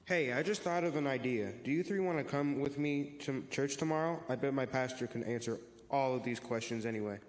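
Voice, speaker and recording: Casting: earnest male youth for church reader's theater, played by a man, explanatory and friendly, mid-pitched, heard in a reverberant hall. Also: fairly quick, hardly any pauses, close to an everyday voice. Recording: echoey hall with long reverb.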